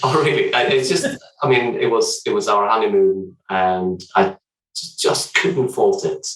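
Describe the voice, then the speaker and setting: Deep-voiced Swedish man, distant and echoey. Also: steady tone, fairly bright tone. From Sweden. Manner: measured, hesitant, many restarts and fillers. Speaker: hotel development director, podcast guest. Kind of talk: casual interview chat.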